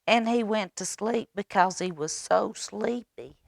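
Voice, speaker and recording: A woman reading a children's story aloud expressively, with dramatic pauses and coaxing questions to young listeners. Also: mid-range voice, creaky, slight background noise. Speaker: library storytime reader.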